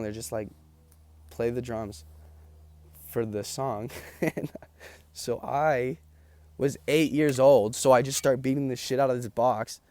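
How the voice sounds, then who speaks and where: A man's voice: medium pitch, mellow. A young man chatting casually to camera, tired and losing his voice, rambling with lots of ums and likes. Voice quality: gravelly. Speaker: vlogger. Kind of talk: casual chat.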